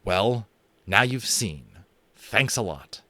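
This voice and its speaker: A man voicing an exasperated young boy with a sharp, scolding way of talking. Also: lowish voice, lively intonation, slow, no room sound, heavily acted. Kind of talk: audiobook character voice.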